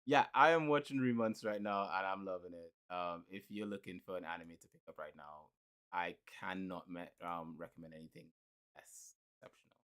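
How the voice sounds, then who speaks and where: A man's voice: deep voice, brisk, fairly bright tone, clear, resonant tone. A man co-hosting a casual podcast, rambling and repeating himself with frequent laughs. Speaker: podcast co-host.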